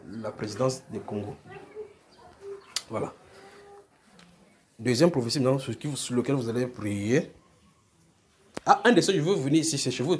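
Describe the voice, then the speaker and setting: On a noisy live recording, a man preaches in French-speaking African tones at a middling pitch. He recounts visions without a script, circling back over phrases and checking that listeners follow. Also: darkish tone. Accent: Francophone African. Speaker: preacher telling prophetic visions. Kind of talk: extempore prophetic sermon to a congregation.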